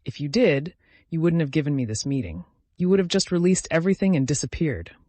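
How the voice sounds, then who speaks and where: A man's voice: on the high side, big pitch swings, brisk, a little mellow, no echo, voice pushed up. A man voicing an earnest woman lawyer in an audiobook, remorseful but resolute, reasoning and pleading. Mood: wary challenge. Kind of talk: dramatic audiobook character reading.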